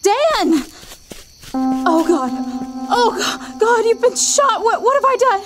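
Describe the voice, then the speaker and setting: A woman's voice: very high-pitched, lively intonation, slow, faint music behind, full character voice. An actress playing a defiant, vengeful young woman who curses her enemy and later sobs over a dying friend. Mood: distraught. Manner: defiant, cursing, later sobbing. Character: vengeful young woman, fierce then desperate.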